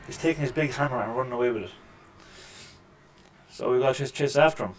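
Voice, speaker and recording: A man with a deep voice hosting a casual let's-play, making wry, joking remarks over the gameplay at a desk microphone. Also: quick pace, a little hiss or hum. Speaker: gaming channel host. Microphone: desk microphone with mixer.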